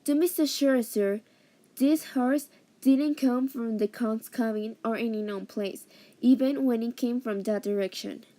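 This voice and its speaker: A respectful, earnest servant, played by a woman in a radio drama, bringing news to the master. The voice is fairly high and very soft-edged, with slightly foreign phrasing. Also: measured pace, close to an everyday voice. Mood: earnest, deferential. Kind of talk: amateur radio drama, scripted acting.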